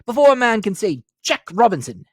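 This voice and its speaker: High, hearty, jovial old gentleman. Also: very animated, a little fast, husky, no echo, studio quality, full character voice. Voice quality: rich, oily, fat voice. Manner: booming, exuberant.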